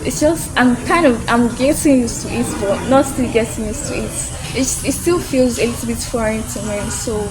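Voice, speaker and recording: A young Nigerian woman with a Nigerian accent, answering interview questions softly and hesitantly as an international student. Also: fairly high pitch, moderate pace, few pauses, background noise.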